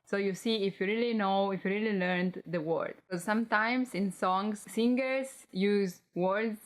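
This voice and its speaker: A woman's voice: medium pitch, unhurried. A young woman with an Italian accent, a native Italian speaker, giving a casual, chatty language lesson. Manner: relaxed, chatty, mixes Italian phrases with English explanations. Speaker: language teacher.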